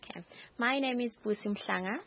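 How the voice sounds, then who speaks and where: Husky young Zimbabwean woman, earnest. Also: on the high side, fairly quick, no room sound. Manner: earnest storytelling with many hesitations. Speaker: studio guest. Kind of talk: interview answers in English and Shona. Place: radio studio. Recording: dry, slightly dull studio sound.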